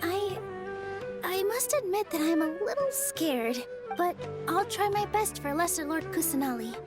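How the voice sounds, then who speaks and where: A woman playing a sweet, earnest and excitable young dancer in an anime-style video game, speaking expressively. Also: very high voice, measured pace, loud music behind the voice.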